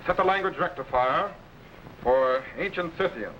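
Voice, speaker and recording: An actor playing a commanding time-travel captain in a 1950s TV serial, giving brisk, businesslike orders. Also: male voice, a little high, expressive, lo-fi sound, slight background noise, lightly acted. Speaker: lead of the series.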